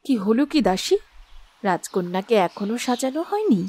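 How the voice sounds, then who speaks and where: A woman's voice: a little high, very animated, moderate pace, darkish tone. A woman voicing a loving cartoon queen who is bossy yet tender. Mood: urging. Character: fussing, loving queen, mother of a bride.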